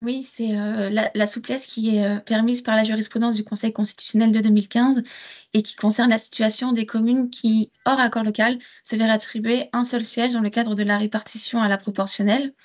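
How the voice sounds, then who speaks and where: A woman's voice: a little high, level tone, brisk, darkish tone. A woman giving a careful, formal technical explanation as an expert guest on a panel.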